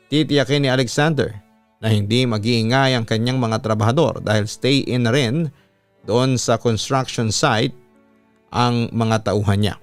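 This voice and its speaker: A man's voice: medium pitch, no echo. A man with a Filipino accent reading a listener's letter as scripted narration, like a radio announcer telling a story. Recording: music playing behind the voice.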